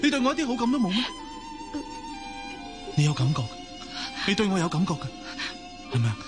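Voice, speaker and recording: A man's voice: high-pitched, sing-song, brisk. An actor playing an earnest, insistent young man in love in a radio drama, with a Hong Kong Cantonese accent. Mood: impassioned, insistent. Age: young adult. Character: ardent young man, insistent lovestruck suitor. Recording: music under the voice.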